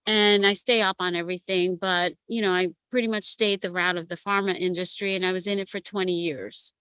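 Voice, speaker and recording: A woman in her fifties, a matchmaker and coach, telling her life story in a relaxed interview with frequent laughs. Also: fairly low pitch, fairly quick, clear, resonant tone, no echo, low-quality recording. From United States. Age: 50s. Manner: lively storyteller who laughs often. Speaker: guest, matchmaker and relationship coach. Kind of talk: casual interview chat.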